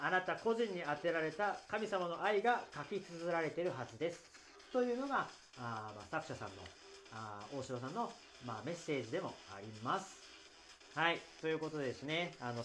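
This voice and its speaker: A man's voice: on the high side, a bit bright. A man hosting a casual solo podcast after-talk, explaining things in a hesitant, conversational way. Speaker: podcast host.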